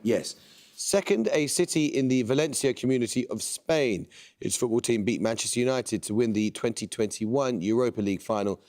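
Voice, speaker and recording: A man hosting a quiz show, reading questions and introducing teams in a measured, precise way. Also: medium pitch, a little mellow. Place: TV studio.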